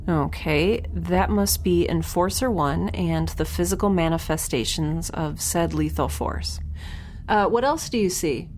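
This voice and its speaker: A woman's voice: fairly low pitch, measured pace, darkish tone, lightly acted. A woman playing a wry, flustered young woman alone on a spaceship, in a casual, hesitant, sarcastic audio drama style. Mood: exasperated. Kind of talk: naturalistic sci-fi audio drama acting. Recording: faint ship ambience noise.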